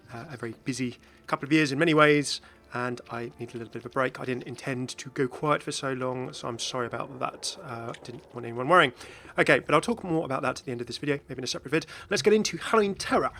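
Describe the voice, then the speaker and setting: A man's voice: medium pitch, quick pace, hardly any pauses, a bit bright. A man hosting a gaming video with casual, chatty commentary that drifts into hesitant asides. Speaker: video host, game content creator.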